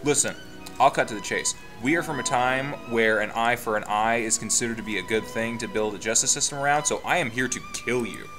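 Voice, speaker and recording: A man's voice: mid-range voice, a little fast. A man reading a story game aloud in a playful, dramatic way, with casual joking asides. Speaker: gaming channel player reading the game aloud. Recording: music playing behind the voice.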